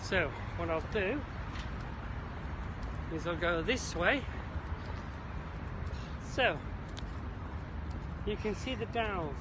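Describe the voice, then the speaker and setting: A British man with a notably high voice chats to his viewers as he walks outdoors. His delivery is slow and chirpy, with a showman's patter. Clear city noise runs behind him on the camera microphone. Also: lively intonation. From Isle of Man, British. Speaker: vlogger. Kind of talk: casual walking vlog to camera. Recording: noisy outdoor city ambience.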